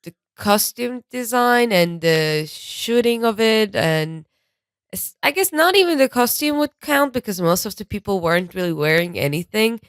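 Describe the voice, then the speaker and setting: A woman's voice: medium pitch, animated, moderate pace, no room sound. Woman chatting casually, dry close sound. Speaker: podcast panelist. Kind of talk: casual chat.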